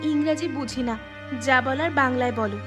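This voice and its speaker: Woman voicing a high-voiced humble village mother. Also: moderate pace, music in the background, close to an everyday voice. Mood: humble, surprised. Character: kind, humble poor young village mother. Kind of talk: cartoon story dialogue.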